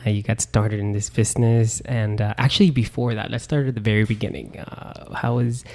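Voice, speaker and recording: A man's voice: on the low side, fairly even intonation, brisk, a little mellow. A man hosting a podcast, asking friendly, rambling questions. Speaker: podcast host. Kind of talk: casual interview talk.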